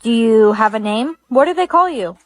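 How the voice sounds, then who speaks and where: A woman's voice: fairly high pitch, expressive, normal speed, no room sound, faint music behind, lightly acted. A woman playing a cautious young human explaining things matter-of-factly in a short skit. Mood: cautioning. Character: cautious young human Jake who befriends a robot. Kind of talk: short comedic skit acting.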